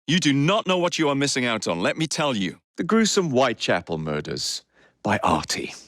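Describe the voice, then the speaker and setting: A man's voice: mid-range voice, very animated, normal speed, fairly bright tone, no echo, studio quality. A man playing a cocky young Victorian London gang leader in a video game, with an English accent and a cheeky, wry manner. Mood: wry, confident.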